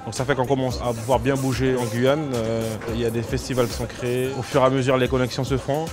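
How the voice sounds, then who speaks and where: Man from French Guiana, graffiti artist, casual interview, halting delivery, medium pitch, music underneath. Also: level tone. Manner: halting, with repeated words and restarts. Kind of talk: casual spoken interview about own work.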